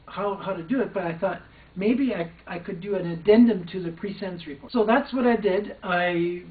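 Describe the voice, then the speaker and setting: A man's voice: high-pitched, normal speed, reverberant. Hesitant older man, distant phone-like sound. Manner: hesitant, with frequent restarts. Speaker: former probation officer. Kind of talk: recounted personal story.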